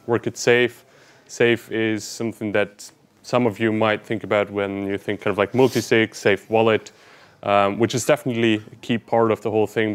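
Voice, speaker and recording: Man, panelist, smooth resonant voice, low, measured explaining. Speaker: panelist, partnerships lead. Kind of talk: conference panel talk.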